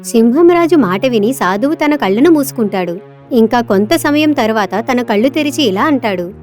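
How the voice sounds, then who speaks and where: High-pitched woman narrating a story. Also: animated, normal speed, a little mellow, soft background music. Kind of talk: scripted children's story narration.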